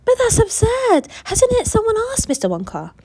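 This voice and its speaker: Woman doing an eager, high-voiced young boy. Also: wildly swooping pitch, mellow, no echo, exaggerated voice. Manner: high, quick, eager questions.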